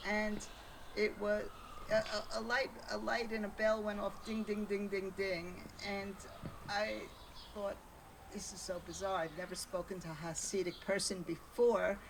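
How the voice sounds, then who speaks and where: A woman from New York with a New York accent, telling the story of her life at a book launch with wry, chatty jokes. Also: medium pitch. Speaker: author. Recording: distant mic, noisy room.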